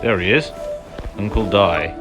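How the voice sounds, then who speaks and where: Low-voiced man doing casual game commentary. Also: a little slow, low-quality recording. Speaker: gaming video host. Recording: voice-over with loud game music behind.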